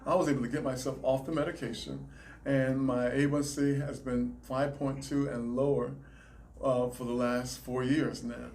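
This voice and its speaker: A man with a Southern US accent, a chiropractor, answering interview questions in a measured, explanatory way. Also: medium pitch, flat delivery, a little mellow, slight background noise. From Alabama, raised in South Carolina. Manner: measured, explanatory, some restarts. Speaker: chiropractor, guest.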